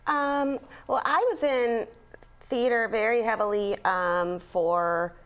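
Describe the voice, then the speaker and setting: A woman's voice: fairly high pitch, steady tone, takes it slowly, a bit bright, clean tone. A young woman, a stage actor, chatting casually in an interview with lots of fillers and light laughs. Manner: chatty, many fillers, laughs while talking. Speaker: actor in a community theater play.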